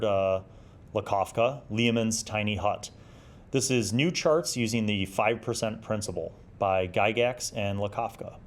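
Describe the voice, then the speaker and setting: A middle-aged man hosting a review series, talking casually and enthusiastically in an informal, rambling way as he pages through material. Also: medium pitch. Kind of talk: casual chatty magazine review, commenting while paging through.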